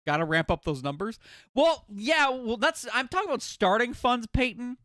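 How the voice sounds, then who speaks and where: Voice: mid-range voice, big pitch swings, normal speed, a bit bright, no room sound, clean studio sound. A game streamer chatting casually and jokingly with viewers, playful and given to swearing. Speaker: game livestreamer.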